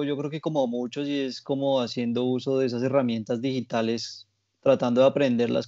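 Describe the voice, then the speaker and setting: A man with a Colombian accent talks casually as an interview guest. His voice is dark and relaxed, and he phrases things reflectively, with small softeners. The recording is dry and a little dull. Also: medium pitch, no echo. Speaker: interview guest, graphic designer and muralist.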